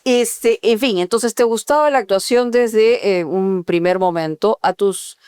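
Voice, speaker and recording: A woman with a smooth, clear voice, heard dry and close-miked. She asks probing interview questions and backs them with frequent affirmations. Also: mid-range voice, unhurried, a little mellow, dry recording. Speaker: podcast co-host. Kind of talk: interviewing a guest. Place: podcast studio.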